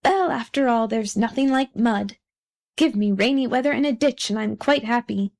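A chatty little storybook frog, put on by a woman reader. He gushes on in a high voice, self-satisfied and talkative. He is a creature who loves his muddy ditch. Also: moderate pace, darkish tone, close to an everyday voice.

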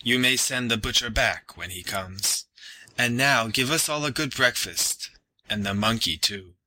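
A man voicing a grateful master of the house in a fairy tale, giving measured orders. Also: mid-range voice, sharp tone, rough recording. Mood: grateful, commanding. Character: gruff but grateful husband, master of the house.

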